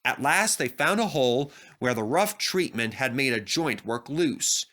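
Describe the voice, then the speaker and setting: Animated man reading a children's story. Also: medium pitch, measured pace. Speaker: storybook narrator. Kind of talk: expressive scripted children's story narration.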